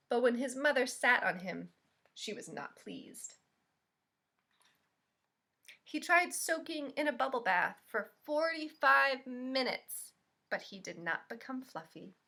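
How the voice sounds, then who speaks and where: Slow, high-voiced storytime woman. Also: vocal fry. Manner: expressive, asks the children questions. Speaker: children's library storyteller. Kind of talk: read-aloud storytime for young children.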